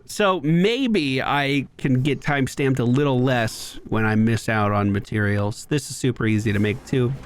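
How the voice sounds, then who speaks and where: A man's voice: mid-range voice, unhurried, few pauses, a little hiss or hum. A man giving casual, playful video game commentary, explaining things expressively as he plays. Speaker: video game player and host.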